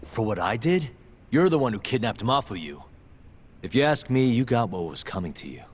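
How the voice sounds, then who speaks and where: A man's voice: mid-range voice, very animated, moderate pace, breathy edge. An actor playing a tough, cool and sardonic investigator in a video game cutscene, confident and taunting as he presses for answers. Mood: firm. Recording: dramatic music behind the voice. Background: background music.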